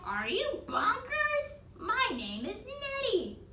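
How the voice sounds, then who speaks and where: A woman's voice: extremely high pitch, huge pitch swings, slow pace, bright, forceful tone, echoey room, distant mic, slight background noise, pitched above the performer's own voice, heavily acted. A woman voicing an indignant, furious female mountain monster in a children's storybook. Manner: indignant exclamations.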